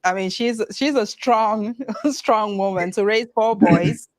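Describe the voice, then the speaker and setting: A woman host of a podcast with a voice of ordinary pitch for a woman. She frames her questions loosely, circling back and repeating words as she goes. The talk is casual and conversational. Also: slow pace, a little mellow. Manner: rambling, repeats words while framing questions. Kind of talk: casual interview.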